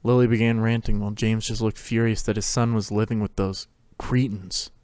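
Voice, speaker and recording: A man's voice: on the low side, little pitch movement, brisk, a little mellow, smooth, no echo. A man reading scripted fiction as a narrator in a dramatic reading.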